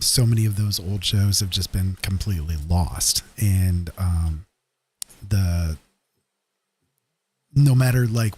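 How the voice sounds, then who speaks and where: A man's voice: on the low side, a little mellow, breathy edge. A man hosting a casual late-night livestream, rambling informally and a little sweary. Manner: rambling, informal, mildly sweary, self-amused. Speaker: live stream host.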